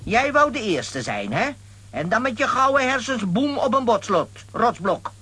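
A man playing a vain, blustering self-appointed leader in a children's comic audio drama, excitable and boastful, with a husky voice. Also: extremely high pitch, moderate pace, exaggerated voice. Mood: pompous, scornful.

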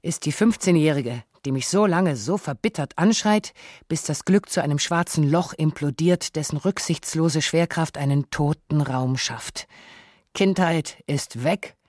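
A man playing an anguished, self-reproaching father who narrates a radio essay in deliberate, reflective phrases. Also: on the high side, darkish tone, dry recording. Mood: melancholy. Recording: dry studio with some music.

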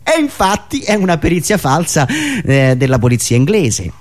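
A man touched by speech, delivering a theatrical satirical political monologue in a sardonic, rhetorical manner, with deliberate pauses and repetitions. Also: on the high side, huge pitch swings. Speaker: satirical monologist, radio show host.

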